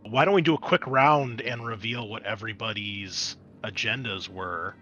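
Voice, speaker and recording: A man's voice: lowish voice, moderate pace, pushed and bright, highs cut off, faint music behind. A man narrating a tabletop roleplaying game as game master, moving between dramatic scene-setting and casual asides.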